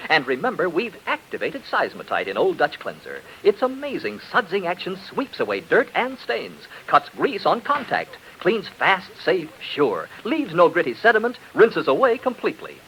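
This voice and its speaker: A man's voice: on the high side, a bit bright, a little hiss or hum, poor audio. A man announcing on 1940s radio, polished and emphatic as he sells and narrates. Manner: polished, emphatic sales delivery. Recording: vintage 1940s radio broadcast, music behind.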